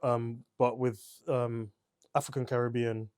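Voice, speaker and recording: A man's voice: mid-range voice, level tone, unhurried, a little mellow, no room sound. Man, podcast co-host, casual talk, hesitant, fillers, dry sound. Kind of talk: casual book discussion.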